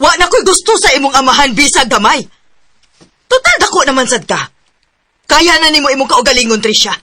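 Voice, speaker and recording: Actress as defiant mother, radio drama, curt, cold, high-pitched. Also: expressive, fairly quick, sharp tone. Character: mother leaving her husband, cold and defiant woman.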